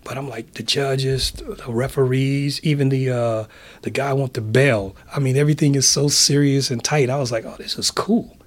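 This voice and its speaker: A man's voice: mid-range voice, steady tone, moderate pace. A middle-aged man, chatting casually in a laid-back, slangy way as an interview guest. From San Francisco, USA. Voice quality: raspy. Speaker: interview guest, public address announcer.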